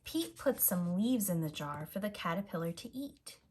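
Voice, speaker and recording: A woman reading a picture book aloud to young children in an expressive, deliberate manner as a storyteller. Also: lowish voice. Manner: slow, expressive, simple deliberate phrasing. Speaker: storyteller, preschool teacher.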